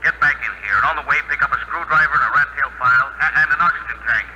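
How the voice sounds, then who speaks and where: A man's voice: mid-range voice, rapid-fire, pushed and bright, lo-fi sound. An actor playing an earnest, urgent spaceship crewman with insistent, clipped speech in a 1950s radio drama. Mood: urgent, commanding. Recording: thin, faintly noisy 1950 radio broadcast.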